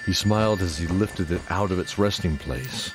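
A man's voice: sits low, moderate pace, music in the background. A man narrating a scripted fantasy audio drama. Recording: clear background noise and ambience.

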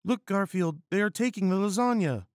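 A man acts out a serious woman first officer in a comic space story, pitched well up. She is dutiful and stiffly formal, with lively swings in a dry recording. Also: a little fast, dry recording, close to an everyday voice. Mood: alarmed.

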